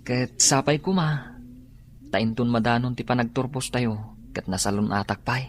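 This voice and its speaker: A man's voice: mid-range voice, fairly even intonation, normal speed, close to an everyday voice. A man playing an earnest, studious young student in an Ilocano radio drama. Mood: thoughtful.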